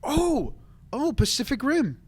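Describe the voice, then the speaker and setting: A man hosting a casual group podcast, talking in an animated, enthusiastic way. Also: extremely high pitch, takes it slowly. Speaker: podcast host.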